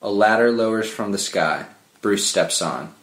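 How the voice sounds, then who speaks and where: A man's voice: on the low side, measured pace, a bit bright. A man narrating screenplay stage directions as scripted narration, with film-score music behind him. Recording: echoey room with music under the voice.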